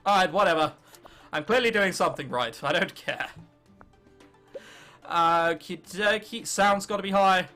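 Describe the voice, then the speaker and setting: A young man with an English accent commentates casually on a video game. His voice is high and bright and swings around a lot in pitch. He is irreverent and sarcastic, often talking to the game itself. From England. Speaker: gaming video host.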